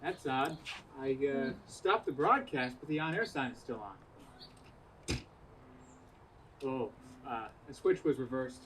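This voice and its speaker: A sheepish, bumbling male radio technician, played by a man, heard at a distance from the microphone. He is hesitant and deadpan in a comic stage play. There is faint noise behind him. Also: medium pitch, takes it slowly, long pauses. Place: outdoor community theater stage in parking lot.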